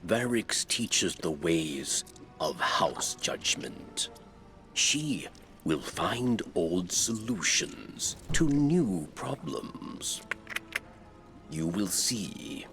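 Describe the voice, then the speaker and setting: An actor playing a cunning, reassuring alien scribe in a video game, speaking in halting, clipped phrases with a gravelly rasp. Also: male voice, deep voice, expressive, fairly bright tone, soft background music, full character voice. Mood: earnest, coaxing. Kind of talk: dramatic video game cutscene acting.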